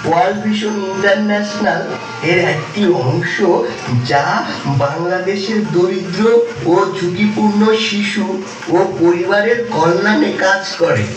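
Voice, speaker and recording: A man's voice: sits high, steady tone, unhurried. A man presenting a scripted introduction as the presenter. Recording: very echoey, distant, music behind.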